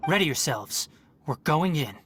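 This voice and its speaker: An actor playing a calm, serious young forest scholar in a video game, speaking in a measured, articulate and analytical way. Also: male voice, a little high, slight background noise. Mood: calm, observant. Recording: game music under the voice.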